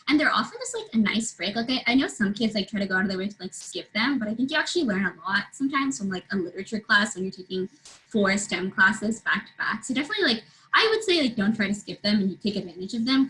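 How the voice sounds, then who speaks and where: A woman's voice: mid-range voice, a bit bright, rough recording. A young woman, an engineering student on a college panel, talking casually and upbeat with many fillers. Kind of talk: casual panel talk on a video call.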